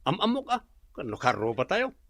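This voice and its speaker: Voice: mid-range voice, wildly swooping pitch, brisk, fairly bright tone, no echo, exaggerated voice. Person playing lazy tv-watching guy, whiny and defensive, dry close mic. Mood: sulky. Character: lazy unemployed man who watches TV all day. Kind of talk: radio drama acting.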